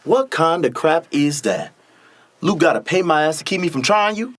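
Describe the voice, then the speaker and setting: A mean young bully, acted by a man in a radio drama, coarse and mocking. His fairly high male voice swings widely in pitch. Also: expressive, normal speed, a bit bright. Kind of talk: radio play acting.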